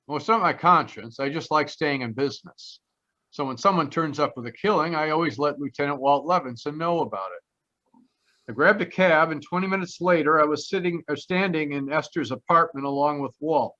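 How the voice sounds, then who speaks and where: An actor playing a flippant, wry ex-cop private detective in a 1940s-style radio play. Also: male voice, on the high side, bright, forceful tone. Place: remote live performance over video call. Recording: dull, lo-fi video call audio.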